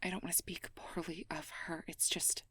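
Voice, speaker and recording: A woman playing a sweet, anxious young mage girlfriend in an ASMR role-play, speaking in a breathy, often whispered voice with earnest, hesitant, careful phrasing. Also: on the low side, flat delivery, moderate pace, sharp tone, no room sound, heavily acted. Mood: frustrated, reluctant. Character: sweet, anxious, conscientious young air mage girlfriend confiding her worries. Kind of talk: ASMR role-play, intimate personal address.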